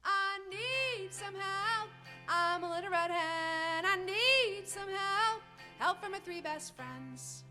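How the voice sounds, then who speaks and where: A woman's voice: sits high, sing-song, normal speed, over music. A woman voicing a small, hardworking hen, plaintive but forgiving, in a sing-along children's story. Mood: pleading, singsong.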